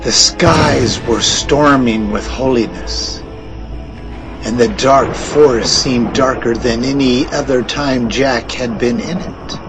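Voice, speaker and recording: A man tells a storybook adventure at an unhurried pace, leaning into dramatic pauses and swells. His mid-range voice sits over a clearly audible music bed. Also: mid-range voice. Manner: slow, dramatic storytelling cadence. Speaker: narrator.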